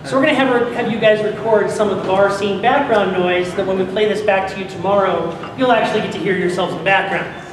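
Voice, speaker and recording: Man, high-pitched, informal presenter, heavy echo, room noise, live instructions. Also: steady tone, fast talker. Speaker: presenter directing an audio drama recording. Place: large convention hall. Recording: echoey noisy hall, distant sound. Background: room noise and ambience.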